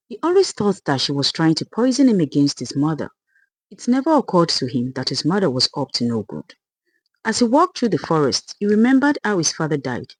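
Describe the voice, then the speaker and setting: A storyteller giving a dramatic, expressive reading of a scripted folktale. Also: medium pitch, breathy edge, no echo, low-quality recording.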